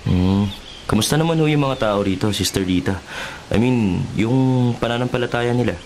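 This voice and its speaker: A man's voice: mid-range voice, moderate pace, a little mellow, a little hiss or hum, close to an everyday voice. A man playing a polite, earnest journalist in a Filipino radio drama, courteous and inquisitive. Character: polite, earnest male journalist making a documentary.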